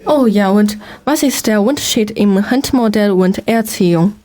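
A woman's voice: mid-range voice, moderate pace, warm tone. Female co-host. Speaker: co-host of a radio show. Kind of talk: scripted interview questions.

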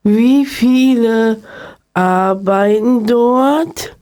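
A woman's voice: on the high side, extremely slow, dark tone. A female radio host reading out her interview questions carefully, word by word.

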